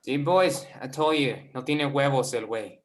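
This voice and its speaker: A man's voice: mid-range voice, normal speed, natural voice. A man playing cocky gang leader, echoey room. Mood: taunting. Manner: goading, slangy, mixes in Spanish. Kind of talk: screenplay table read.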